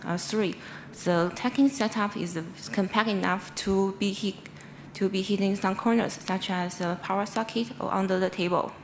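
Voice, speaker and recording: Woman, Chinese accent, academic presenter, halting non-fluent English, frequent self-corrections, mid-range pitch, slightly noisy recording. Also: steady tone. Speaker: researcher presenting a paper.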